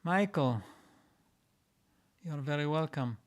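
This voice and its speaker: Higher-voiced man, halting and repetitive. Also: animated. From Israel. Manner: halting, frequent restarts and repeated words; reads out comments, then answers. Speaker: host answering viewer comments. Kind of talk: live-streamed casual Q&A.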